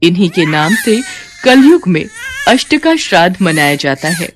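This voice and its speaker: A woman's voice: lowish voice, big pitch swings, moderate pace, slight background noise. A woman storyteller reading a scripted devotional narration.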